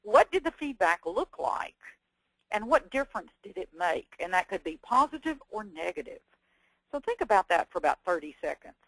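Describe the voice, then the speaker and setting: An older woman with a warm Southern Texas drawl leads a teachers' webinar, chatty and folksy, cracking jokes at her own expense. Her voice sits at an ordinary middle pitch. The recording is dry and close, with no room sound. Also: big pitch swings, moderate pace, slightly rough, dry recording, low-quality recording. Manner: folksy, chatty, self-deprecating humor. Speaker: webinar presenter, former teacher. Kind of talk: educational webinar talk.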